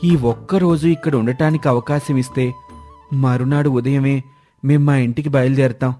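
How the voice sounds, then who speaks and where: A man's voice: medium pitch, fairly even intonation, moderate pace, mellow, faint music behind, close to an everyday voice. A man voicing a humble, earnest young forest villager who speaks respectfully in a cartoon moral story. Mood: humble pleading. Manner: respectful, pleading.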